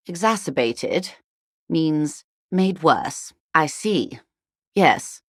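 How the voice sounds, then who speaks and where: A wealthy, middle-aged female negotiator for a video game. Her voice is low and recorded very dry and close. She speaks in measured, eloquent sentences with polished formality and a worldly, dry irony. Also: no room sound, exaggerated voice. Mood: wry, composed. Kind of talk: video game character dialogue.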